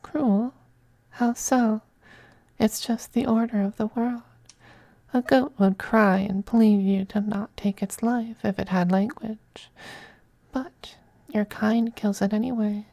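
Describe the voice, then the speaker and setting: Someone acting a slow, soft-whispering femboy vampire master. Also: mid-range voice, steady tone, no echo. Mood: cold, haughty. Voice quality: soft, breathy, often whispering. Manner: slow, purring, coaxing, condescending. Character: possessive androgynous male vampire master, sweetly menacing, doting. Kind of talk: ASMR roleplay, intimate scripted monologue.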